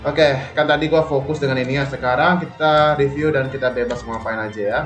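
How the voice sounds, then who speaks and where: Male game streamer, Indonesian accent: mid-pitched, slangy casual chat to viewers, echoey room, game music behind. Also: fairly even intonation, fast talker, fairly bright tone. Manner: informal, slangy, addressing viewers. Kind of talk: casual livestream gameplay chat. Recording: roomy stream mic over game music.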